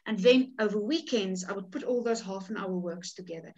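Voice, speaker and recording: A woman's voice: mid-range voice, a little fast, a little mellow, muffled recording. A woman from South Africa with a South African accent, whose first language is Afrikaans, talking casually as an author in a workshop over a video call, with many restarts and repeated words. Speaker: author leading a writing workshop. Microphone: video call webcam.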